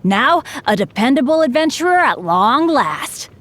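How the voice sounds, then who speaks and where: A woman's voice: high-pitched, sing-song, unhurried, fairly bright tone, heavily acted. A woman voicing an upbeat, earnest, unlucky teenage boy adventurer for a video game, eager, chatty and self-deprecating. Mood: proud, excited. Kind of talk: video game character voice lines.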